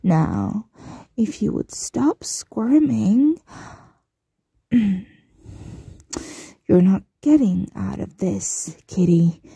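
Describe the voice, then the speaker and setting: A woman playing a possessive, smugly menacing mistress in an ASMR roleplay, breathy and often whispering, with a teasing, condescending coo. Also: mid-range voice, sing-song, mellow, dry recording, full character voice. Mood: stern, commanding. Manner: teasing, condescending, drawn-out cooing.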